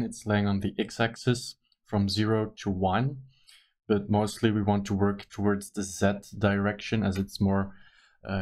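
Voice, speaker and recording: Hesitant Belgian man explaining software. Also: lowish voice. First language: not English. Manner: careful, hesitant explaining with many ums and uhs. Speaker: tutorial host. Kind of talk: screen-recorded software tutorial.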